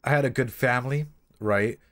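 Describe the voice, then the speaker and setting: A man's voice: medium pitch, moderate pace, a bit bright. A man talks as a livestream host in a mid-range voice. His delivery is casual and rambling, full of slang and swearing. He circles back on phrases as he reacts and analyses. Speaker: livestream host and practising therapist.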